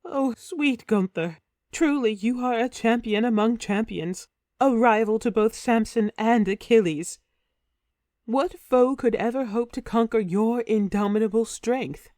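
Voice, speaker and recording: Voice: medium pitch, unhurried, darkish tone, no echo, clean studio sound, voice pushed up, lightly acted. A storyteller voicing a passionate, immortal warrior queen, in a raised, ardent tone, in a storybook reading. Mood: adoring. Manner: pleading, ardent.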